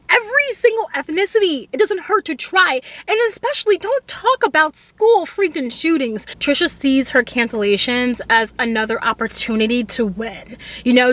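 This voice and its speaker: A high-pitched woman talks from a parked car in a chatty, exasperated way, laying out her opinions as casual vlog commentary. Her voice sits well up in her range throughout. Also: fairly bright tone, no room sound. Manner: exasperated, chatty, laughs now and then. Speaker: commentary vlogger. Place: inside a car.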